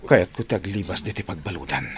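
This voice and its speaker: Low-voiced desperate Ilocano prisoner. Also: male voice, lively intonation, a little fast, a crowd in the background. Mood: pleading. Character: desperate, pleading prisoner in love. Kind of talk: Ilocano radio drama acting.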